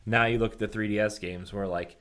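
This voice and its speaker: A man's voice: lowish voice, fairly even intonation, quick pace, a bit bright, clear, resonant tone. A man hosting a casual gaming podcast, talking informally and reasoning things through with examples. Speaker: podcast host.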